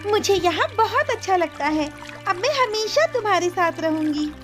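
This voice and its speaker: A woman's voice: extremely high pitch, very animated, moderate pace, loud music behind the voice, pitched above the performer's own voice. A woman voicing a stubborn, hurt young princess in a cartoon story, with a childlike, insistent way of complaining. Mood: eager, insistent.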